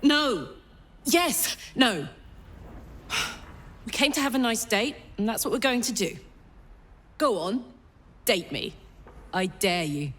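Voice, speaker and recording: An excitable, high-voiced young adventurer. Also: female voice, takes it slowly, long pauses, full character voice. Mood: determined, teasing. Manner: eager, playful, expressive. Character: excitable, playful young woman adventurer on a first date. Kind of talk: dramatic video game acting.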